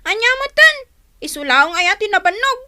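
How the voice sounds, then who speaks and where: An actress playing a sullen, sleepy, lazy teenage daughter with a whiny way of talking in an Ilocano radio drama. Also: female voice, extremely high pitch, very animated, brisk, pushed and bright, no echo, studio quality, full character voice.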